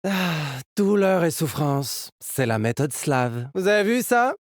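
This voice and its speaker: Young video game fighter, upbeat and cocky, lightly high-pitched, swooping intonation, playful slangy delivery. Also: male voice, lively intonation, slow, no echo, studio quality. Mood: boastful, teasing. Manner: casual, playful, slangy teammate banter. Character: upbeat, cocky young male party-loving musician fighter. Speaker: video game agent character. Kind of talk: game character voice lines.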